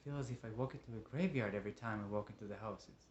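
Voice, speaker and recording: A man performing a dramatic monologue as a lonely, embittered father, emotive and moving between hushed and agitated. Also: on the low side, rapid-fire, muffled recording, a little hiss or hum, close to an everyday voice. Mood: wistful. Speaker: monologue actor. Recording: roomy, echoing room.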